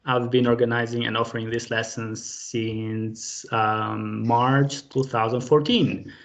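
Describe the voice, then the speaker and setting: A man's voice: mid-range voice, level tone, slow. A man leading a live online group language lesson over a video call, patient and friendly, with many fillers. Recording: dull lo-fi call audio.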